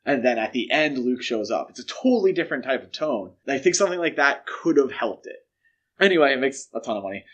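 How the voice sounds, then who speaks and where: Male podcast host, average pitch, conversational and opinionated film chat. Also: animated, quick pace, lo-fi sound. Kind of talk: casual film discussion.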